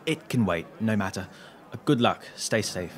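An actor playing a concerned, hesitant male friend in an audio drama, speaking gently. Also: on the low side, expressive, moderate pace, some chatter behind, close to an everyday voice.

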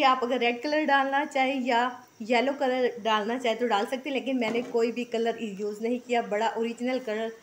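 High-voiced woman narrating a home cooking video. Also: a little hiss or hum. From Pakistan. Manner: homely step-by-step explaining, frequent religious blessings. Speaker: cooking channel host. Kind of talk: casual cooking tutorial voice-over. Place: home kitchen.

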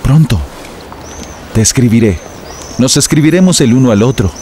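Man playing a gentle young priest. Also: medium pitch, lively intonation, normal speed, slight background noise, close to an everyday voice. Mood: earnest. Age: young adult. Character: young humble village priest, gentle and devoted. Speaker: newly ordained priest. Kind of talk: dubbed film acting. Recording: film dub with loud score.

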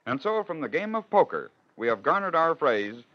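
A man's voice: a little high, very animated, moderate pace. A man hosting and narrating in scripted 1940s radio style, with a rhetorical storytelling manner. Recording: dull old radio broadcast.

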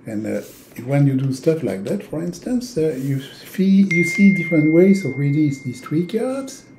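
A man in his sixties from Europe, a native French speaker with a French accent, giving an informal, halting instructional talk with long hesitations. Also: a little high, very animated, vocal fry, background noise. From francophone Europe. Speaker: tarot teacher. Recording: roomy, echoing indoor sound.

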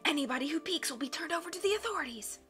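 Lady doing a bossy villain guy in a storybook, high n forceful, ordering people around. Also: animated, rapid-fire, faint music behind. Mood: commanding, alarmed. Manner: commanding. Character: villainous bearded bald man, stern and bossy.

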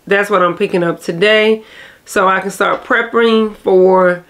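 A woman's voice: medium pitch, fairly even intonation, a little slow, fairly bright tone. Chatty nasal woman vlogger. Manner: rambling, chatty, self-correcting. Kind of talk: casual vlog chat to camera.

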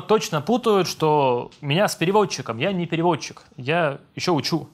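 Young male dubber, casual home Q&A, relaxed and self-mocking, light voice. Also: fairly high pitch. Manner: relaxed, self-deprecating, reads comments then answers. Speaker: voice-over dubber answering viewer questions. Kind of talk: casual Q&A vlog reading comments. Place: home room with monitors, indoors.

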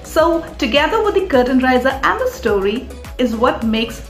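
A woman in her thirties with an Indian accent, presenting as a storyteller in an upbeat, expressive, explanatory way to viewers. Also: high-pitched, normal speed. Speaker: storyteller and author hosting a folktale series. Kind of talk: enthusiastic scripted presentation to viewers. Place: small room indoors. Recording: roomy echo with music underneath. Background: background music.

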